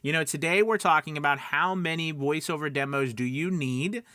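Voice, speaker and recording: A man's voice: fairly high pitch, moderate pace, pushed and bright, no echo. Guy hosting a training show, chatty explainer style, checks in a lot, really dry sound. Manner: conversational, peppered with checking tags like "right?". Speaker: host of a voiceover training show. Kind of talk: informal instructional talk to viewers.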